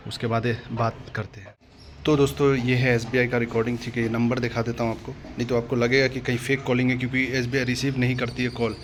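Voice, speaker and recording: A man's voice: mid-range voice, slight background noise. An Indian man hosting an exam-update video, talking casually and directly to viewers with frequent agreement-seeking tags. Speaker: exam-update YouTube host.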